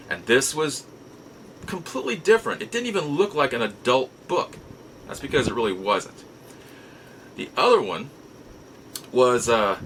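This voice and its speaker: Bright-voiced American man telling stories, halting delivery. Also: mid-range voice, slight background noise, rough recording. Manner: halting, reflective, with drawn-out pauses. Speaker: podcast host. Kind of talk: casual nostalgic storytelling monologue.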